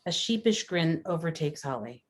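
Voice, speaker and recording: A woman's voice: deep voice, expressive, normal speed. A woman reading screenplay stage directions as scripted narration, matter-of-fact in delivery. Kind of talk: scripted narration, table read.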